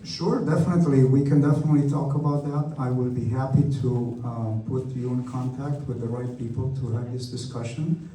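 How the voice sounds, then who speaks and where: A man with a Romanian accent answers questions at a community meeting in a church hall. He talks in a friendly, chatty way and pokes fun at himself. His voice is dark and mellow, and it is picked up from a distance in an echoey room with a faint hum underneath. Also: medium pitch, steady tone, a little fast. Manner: friendly, talkative, self-deprecating. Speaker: airport representative. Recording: echoey hall, speaker far from mic.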